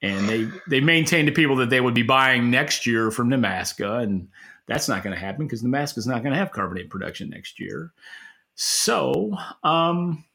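Male podcast analyst, bright forceful voice, informal and halting conversational commentary. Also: medium pitch, animated, gravelly. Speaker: podcast co-host and industry analyst. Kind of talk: opinionated market commentary in conversation.

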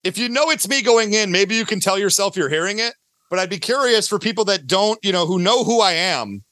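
A man's voice: high-pitched, lively intonation, a little fast, bright, forceful tone, dry recording. A talkative, enthusiastic man chatting casually as a podcast guest, promoting his own work in a self-deprecating way. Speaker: podcast guest, audio drama creator.

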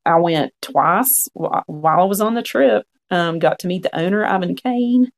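Guest storyteller: man, 40s, gravelly fry, frank and earnest, chatty. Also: high-pitched, normal speed. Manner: frank, earnest, quotes own past thoughts. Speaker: podcast guest. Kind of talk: conversational storytelling.

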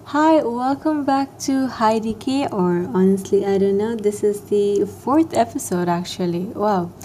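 A young woman hosting her own podcast, chatting casually and rambling with lots of fillers. Her voice is soft and soothing, a little raspier than usual this morning. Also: medium pitch, a little slow, a little hiss or hum. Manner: rambling, filler-heavy, self-deprecating. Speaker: podcast host. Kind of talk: casual solo podcast chat.

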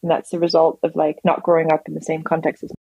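A low, husky woman talks at a brisk clip, restarting her sentences as she goes. The recording is dry and a little muffled. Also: no room sound. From grew up in England, Australia and the UAE. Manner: quick, animated storytelling with restarts. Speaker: guest, design manager. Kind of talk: conversational interview.

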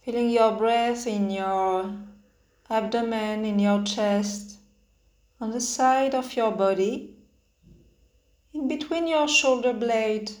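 A woman leading a guided meditation with spoken instructions in halting phrases, pausing often between them. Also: fairly high pitch, darkish tone. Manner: hesitant, halting phrases with long pauses. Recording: roomy, echoing sound.

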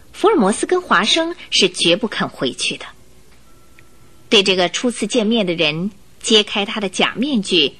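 A woman's voice: medium pitch, bright, forceful tone, husky. A woman narrating a radio drama in a scripted, suspenseful storytelling style, posing questions expressively. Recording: faint music behind narration. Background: faint music.

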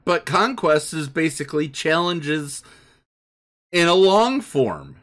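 A man's voice: a little high, lively intonation, slow pace, a bit bright. A man hosting a casual gaming discussion, steering the conversation as he goes. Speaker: podcast host. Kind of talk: casual hosted discussion with listener shout-outs.

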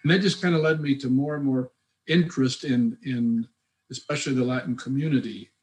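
An older man from rural Kansas, speaking as an interview guest in a reflective, halting way with many fillers. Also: mid-range voice, steady tone, a little mellow, poor audio. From rural north central Kansas, USA. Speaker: guest, former lay missioner. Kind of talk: conversational interview.

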